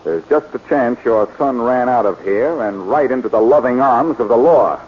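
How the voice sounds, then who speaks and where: A man's voice: mid-range voice, normal speed, lightly acted. A man playing a cool, sardonic reformed-thief detective in 1940s radio acting. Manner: cool, sardonic, lively delivery. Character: wry, confident reformed-thief sleuth. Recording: muffled old radio transfer with hiss.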